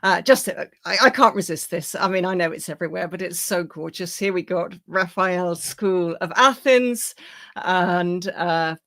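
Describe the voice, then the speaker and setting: A woman lecturing on philosophy, her voice croaky as she recovers from illness, speaking in a lively, wry way with many fillers. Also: lowish voice, measured pace.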